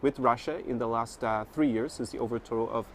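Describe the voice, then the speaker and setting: A man hosting a vlog, explaining things conversationally to camera in measured, slightly hesitant phrasing. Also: mid-range voice. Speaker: vlog host. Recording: outdoor street noise.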